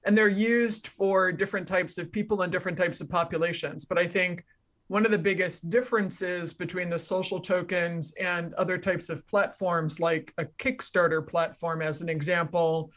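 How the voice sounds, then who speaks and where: A woman's voice: on the low side, fairly even intonation, normal speed, a bit bright. A woman speaks as a guest expert in a conversation. She explains things methodically, building her points through examples and contrasts.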